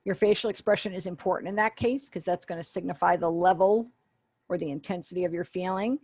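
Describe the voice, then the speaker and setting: A woman with a fairly low voice teaches a beginner sign language class over a webinar call. She explains patiently and voices sample phrases as she goes. The sound is dry and close, with no room around it. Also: brisk, a little mellow, no echo. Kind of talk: online sign language lesson. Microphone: webinar video call.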